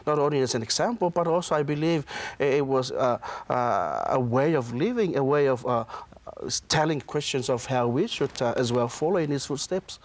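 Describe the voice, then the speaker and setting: A man's voice: mid-range voice, expressive, normal speed, no room sound. A man, a pastor being interviewed in a studio, speaking hesitantly with many restarts. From Samoa. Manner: hesitant, many restarts and fillers, soft-spoken.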